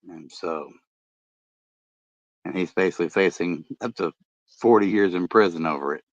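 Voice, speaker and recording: Deep-voiced man, rambling late-night chat. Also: moderate pace, dry recording. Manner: rambling, many fillers and restarts. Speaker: podcast host and ham radio vlogger. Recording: dull lo-fi dry sound.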